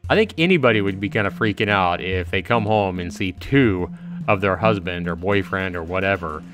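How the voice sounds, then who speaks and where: A man's voice: sits low, normal speed, fairly bright tone, faint music behind. A man hosting a game livestream, chatting informally to viewers and reacting aloud as he reads along. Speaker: livestream host. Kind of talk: casual game livestream commentary with dramatic read-aloud.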